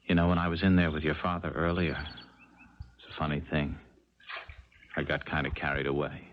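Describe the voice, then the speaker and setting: A man's voice: rumbling low, flat delivery, normal speed. An American man playing a hesitant, conflicted ex-con in a 1970s radio drama, plain-spoken and wistful. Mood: reluctant, wistful. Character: reluctant male ex-con hired to kill, conflicted, wistful. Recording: muffled, noisy 1970s radio recording.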